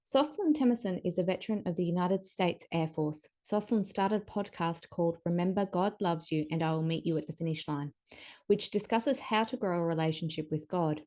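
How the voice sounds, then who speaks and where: A woman's voice: fairly low pitch, fairly quick, warm tone. A woman, hosting a relationship interview over a video call and asking curious questions. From Australia. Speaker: podcast host. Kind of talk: interview host.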